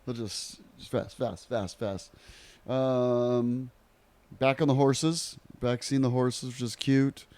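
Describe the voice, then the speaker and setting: A man's voice: medium pitch, fairly even intonation, slow pace, warm tone. A man co-hosting a podcast in casual chat, with a joking, bantering manner. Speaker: podcast co-host.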